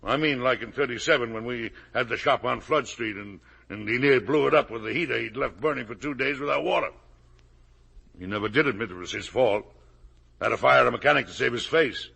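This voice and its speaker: A man's voice: mid-range voice, a little fast, gravelly, pushed and bright, slightly rough, heavily acted. An actor with an American accent playing a genial, blustering older businessman and father in a 1950 radio drama. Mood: insistent.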